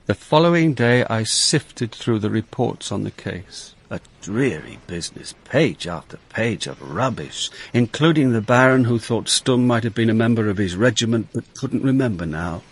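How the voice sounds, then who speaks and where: Husky, dry, world-weary male detective. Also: on the low side, animated, normal speed. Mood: weary, reflective. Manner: dry, wry. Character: weary, dogged male Dutch police detective, narrating his case. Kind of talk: British radio drama narration and dialogue.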